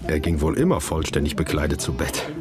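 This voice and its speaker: A man's voice: very deep voice, big pitch swings, a little fast. A man playing a dry, methodical, matter-of-fact forensic examiner in a radio drama, with music behind his voice. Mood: dry, probing.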